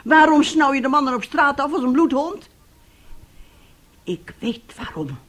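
An actress playing a domineering, bitter middle-aged mother in a radio play, husky and sharp-tongued. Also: high-pitched, animated, unhurried. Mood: indignant, scolding. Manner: scolding, sharp-tongued, self-righteous.

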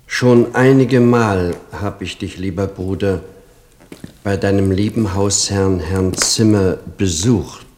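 A man's voice: sits low, slow, darkish tone. A male actor in a German radio play voicing a dutiful stepbrother reading his letter aloud in a stilted, ceremonious manner. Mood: formal devotion.

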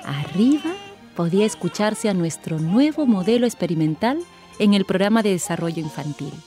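An actress playing a proud, witty mother who narrates her own anecdote in a measured, expressive radio play style. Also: medium pitch, natural voice. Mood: triumphant. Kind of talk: radio drama storytelling.

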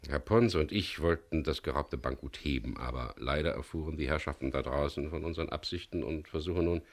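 Calm adventurer, extremely low pitch, gravelly creak, measured, courteous, radio thriller. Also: male voice. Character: composed man, leader of a diamond salvage venture. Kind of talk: 1970s German radio play acting.

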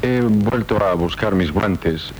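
An actor playing a reserved young knight in theatrical radio drama, formal and polite. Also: male voice, slow. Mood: courteous, guarded. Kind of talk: theatrical 1970s Spanish radio drama acting. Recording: noisy, rumbling 1970s radio recording.

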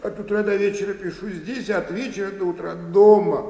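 An actor playing a worn-out, hot-tempered old bank bookkeeper who grumbles and mutters to himself in a radio play. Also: male voice, sits high, fairly even intonation, a little fast, gravelly, mellow. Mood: exhausted complaint. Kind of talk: theatrical radio play acting. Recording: echoey, reverberant studio hall.

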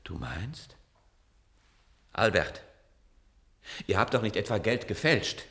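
Low-voiced, beleaguered inventor. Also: male voice, sing-song, slow, vocal fry, husky, exaggerated voice. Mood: alarmed. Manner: agitated, swinging from hushed resignation to loud protest. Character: beleaguered male biologist inventor, exasperated but kind. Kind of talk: German radio play acting.

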